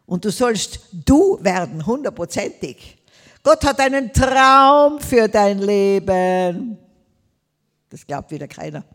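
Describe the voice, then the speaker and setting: A woman's voice: mid-range voice, big-room sound. An older woman from Tyrol with a Tyrolean accent, preaching a sermon emphatically, drawing out words and calling out Amen and Hallelujah. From Tyrol, Austria.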